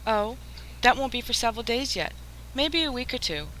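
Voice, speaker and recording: A woman's voice: a little high, animated, normal speed, sharp tone. A woman playing a flirtatious, scheming crook in a 1940s-style radio drama, coaxing and gushing. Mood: sweetly coaxing. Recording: faintly noisy radio drama recording.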